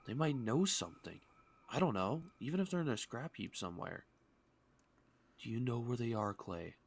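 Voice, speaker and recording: A man voicing an earnest, determined young man in an amateur comic dub, speaking in a measured, pleading way over music. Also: mid-range voice, expressive, vocal fry. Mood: earnest, probing. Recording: music behind the voice.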